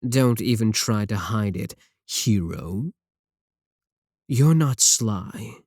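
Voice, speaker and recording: A man's voice: fairly low pitch, darkish tone, no room sound, heavily acted. A man playing an arrogant, mocking villain scientist in an ASMR roleplay, talking close to the mic in a sarcastic, condescending way. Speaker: voice actor in audio roleplay.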